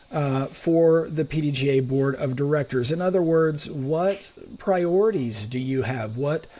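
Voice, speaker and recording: A man hosting an interview, asking measured, formal questions. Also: on the high side, a little mellow. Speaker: interviewer, host.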